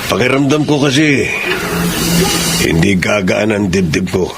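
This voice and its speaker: A troubled ex-convict in a radio drama, his low voice rough and gravelly. Loud noise runs beneath his lines. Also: animated, a little slow. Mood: contrite. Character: vengeful male ex-convict seeking redemption.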